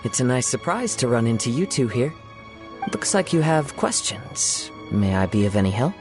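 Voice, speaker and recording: An actor playing a gentle, poetic young male wanderer in an anime-style game, speaking in a polite, measured, eloquent way. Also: medium pitch, big pitch swings, over music, close to an everyday voice. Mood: polite, serene.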